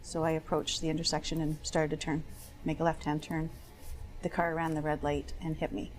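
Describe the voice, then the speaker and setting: A woman's voice: low-pitched, completely flat delivery, brisk, darkish tone, lightly acted, poor audio. A woman playing a plain-spoken accident claimant, answering questions as a witness in careful, understated, slightly hesitant statements. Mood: careful, subdued. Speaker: witness in a dramatized discovery. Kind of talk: testimony, short factual answers.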